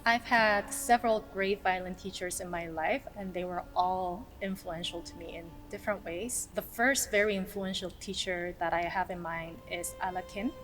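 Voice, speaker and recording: A woman's voice: lowish voice, fairly even intonation, moderate pace, few pauses, fairly bright tone, low-quality recording. Woman musician from South Korea over music. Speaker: orchestral violinist. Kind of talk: casual scripted-outline self-introduction to camera.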